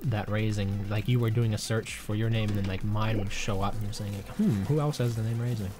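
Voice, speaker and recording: A man's voice: on the low side, steady tone, a little fast, dark tone, faint music behind. A man getting over a cold, chatting casually with a viewer and thinking aloud as he plays through a livestream. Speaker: game streamer. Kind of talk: casual livestream chat while playing.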